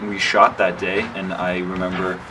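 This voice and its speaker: Chatty young actor, distant and humming. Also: male voice, sits low, normal speed. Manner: lively storyteller, acts out his own past lines; many restarts and "like" fillers. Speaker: actor, interview guest. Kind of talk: casual chat. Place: indoor sit-down interview. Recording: distant mic with clear background hum.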